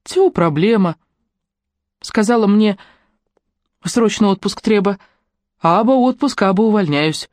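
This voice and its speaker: A narrator voicing a shy but bold young woman who speaks Russian with a Ukrainian accent, in a lively, folksy manner. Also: mid-range voice, a little slow, pauses often, no echo, clean studio sound, pitched above the performer's own voice, lightly acted. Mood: spirited.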